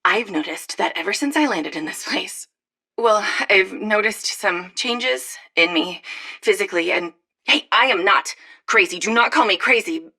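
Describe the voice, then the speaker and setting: An actress playing an unhinged woman slowly turning into a monster, confessing in a jittery, halting, defensive way for a game audio log. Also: medium pitch, sharp tone, breathy edge, exaggerated voice. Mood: nervous, defensive.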